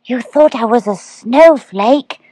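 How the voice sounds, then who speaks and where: A woman voicing a small, eager baby barn owl boy in a storybook reading, with a high, wide-eyed manner. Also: takes it slowly, warm tone, slightly rough, dry recording.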